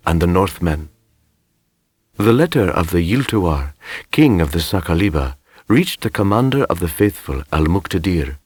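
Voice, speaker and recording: A man voicing a solemn medieval envoy who recounts his travels in stately, formal audiobook narration, with a husky voice. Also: sits low. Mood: solemn, reverent. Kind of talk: first-person scripted storybook narration.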